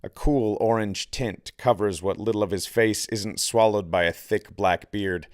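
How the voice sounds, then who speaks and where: Low-voiced man narrating a novel, close and dry. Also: a little slow, no room sound. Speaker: author reading own novel. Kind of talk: audiobook narration, scripted.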